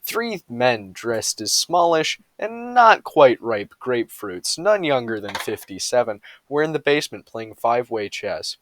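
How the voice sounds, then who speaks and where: A man's voice: medium pitch, very animated, unhurried, hardly any pauses, slight background noise. A man reading humorous bedtime stories aloud with a mock-serious storytelling delivery.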